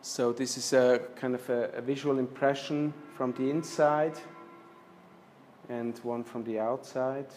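A man in his fifties presenting slides in a lecture room, speaking with many restarts and fillers, trailing off softly at the ends of phrases. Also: medium pitch, steady tone, unhurried, slight background noise. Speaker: architecture lecturer. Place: lecture room with projector screen.

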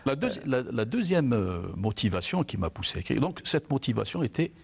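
Older man with a gravelly husky voice. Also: mid-range voice, sing-song, a little fast. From Algeria. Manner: reflective, repeats words while searching. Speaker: author and jurist, guest. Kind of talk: studio interview. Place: TV studio set, indoors.

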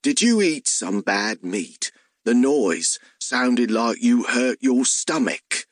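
A male narrator performs a talking hellhound from a fantasy audiobook. He is slow and literal, a hungry, childlike beast, heard in a dry, close recording. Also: medium pitch, expressive, dry recording. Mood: earnest, simple. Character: male talking hellhound, simple-minded, literal, food-obsessed.

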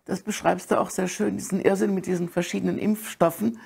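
A woman's voice: mid-range voice, fairly even intonation, a little fast, gravelly, darkish tone, breathy edge. A middle-aged woman interviewing an author in a bookshop, asking short conversational questions. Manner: conversational, brief prompting questions. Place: bookshop, indoors.

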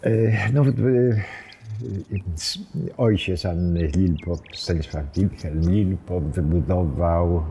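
Very deep, mellow elderly man. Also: slightly rough. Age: 70s. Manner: hesitant, halting, wry asides. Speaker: villa owner telling its history. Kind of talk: informal storytelling to camera, reminiscing. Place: open wooden veranda outdoors among trees. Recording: outdoor background noise, birdsong, small clicks.

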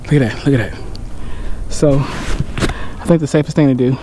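A man's voice: mid-range voice, moderate pace, noisy background. A man in his forties talking casually to viewers while hiking a trail, chatty and jokey, calling them y'all. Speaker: fishing vlogger. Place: outdoors on sandy trails in scrubland. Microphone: chest-mounted action camera.

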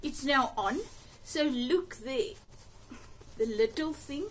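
A woman's voice: sits high, takes it slowly, a little hiss or hum, poor audio. A woman in her fifties with an Afrikaans accent, chatting her way through a sewing tutorial in her home sewing room, upbeat and full of little exclamations. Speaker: sewing channel host. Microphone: camera on a table, a few feet off.

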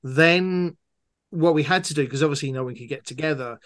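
A man's voice: on the high side, brisk, a bit bright, no room sound, studio quality. A man answering interview questions as a guest audio drama producer, talking conversationally and with enthusiasm.

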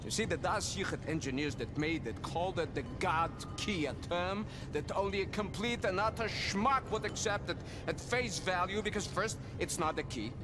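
Game role: elderly male scientist, high-pitched, exclamatory and exasperated, music behind. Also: expressive, normal speed, hardly any pauses. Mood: exasperated, agitated. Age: older. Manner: theatrical, rambling, exclamatory. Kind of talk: video game cutscene acting.

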